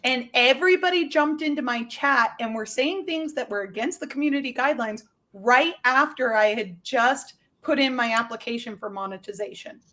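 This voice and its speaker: A woman's voice: on the high side, moderate pace, hardly any pauses, bright, forceful tone. A woman livestreamer in casual, bantering conversation. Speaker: livestreamer on a group stream.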